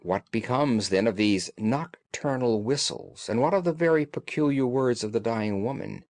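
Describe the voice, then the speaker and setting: A man voicing a shrewd gentleman detective in an audiobook, calm, analytical and authoritative, with formal, deliberate phrasing. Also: medium pitch, no echo, clean studio sound, lightly acted. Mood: pondering.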